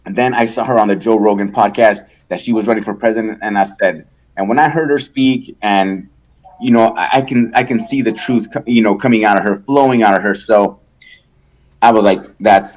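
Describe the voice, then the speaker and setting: A man from El Salvador, a guest in a casual interview, talking haltingly with many restarts and fillers and swearing freely. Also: lowish voice, fairly even intonation, a little mellow, poor audio. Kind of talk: casual conversation.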